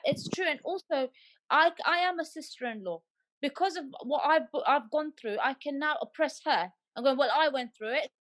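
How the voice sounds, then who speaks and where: High-voiced woman speaking with emphasis. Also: fairly bright tone. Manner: emphatic, heartfelt, repeats for stress. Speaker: panel guest. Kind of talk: personal testimony in a panel discussion.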